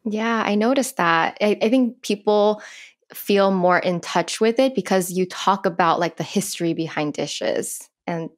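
A woman hosting a casual interview, asking curious questions with many fillers, on a close boom mic at home. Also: unhurried. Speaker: podcast host. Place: small room at home, indoors. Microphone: close podcast mic on boom arm.

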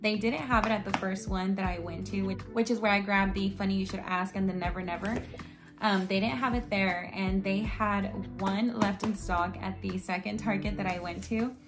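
A young woman in her twenties, a bit hoarse and raspy after losing her voice, chatting casually about books and reading blurbs aloud in her bedroom. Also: medium pitch, fairly even intonation, normal speed. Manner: chatty, reads book blurbs aloud, frequent "like" and "um". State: slightly hoarse from yelling at a concert. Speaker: book vlogger. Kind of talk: casual book haul chat. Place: bedroom, indoors. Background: background music.

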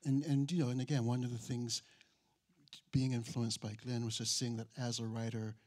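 A middle-aged man talks as a critic on a panel. His pitch sits in an average range and stays very even. He is reflective and measured, often pausing to rephrase. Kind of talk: thoughtful panel discussion. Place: auditorium stage. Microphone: handheld microphone held near mouth.

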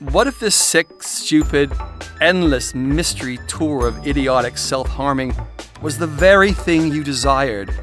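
A man reading his own self-help audiobook in an expressive, irreverent, emphatic, sweary way. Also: medium pitch. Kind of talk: expressive audiobook narration with candid, rambling asides. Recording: studio audiobook recording with music behind. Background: music.

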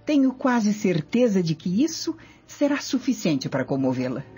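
An actress playing a vengeful, scheming Roman noblewoman in a radio drama, speaking imperiously and deliberately. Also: medium pitch, very animated, music in the background, lightly acted. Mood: commanding, calculating.

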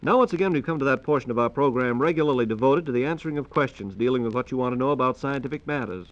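An American man announces in a formal 1940s radio style, speaking quickly and politely at a medium pitch. The recording is dull and narrow, like an old transcription disc. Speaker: radio program host and announcer.

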